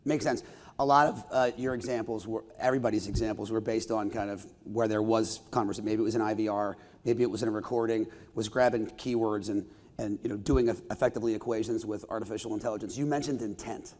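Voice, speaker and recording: Man, panel moderator, rapid talk, reverberant hall. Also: on the low side, fairly even intonation, a little mellow. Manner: quick, affirming, asks long rambling questions. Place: conference stage with audience.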